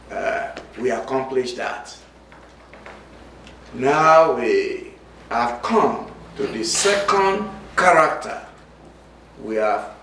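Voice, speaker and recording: A man with a Nigerian accent preaching a sermon, speaking slowly and distinctly and reading scripture aloud. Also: fairly high pitch, a bit bright, slight background noise. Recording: echoey room, from far off.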